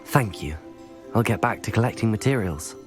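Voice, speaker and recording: An actor playing a polite, helpful young student wizard in a video game, speaking in a courteous, earnest way. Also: male voice, lowish voice, expressive, normal speed, natural voice. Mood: polite, curious. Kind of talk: video game character dialogue. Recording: music clearly behind the voice.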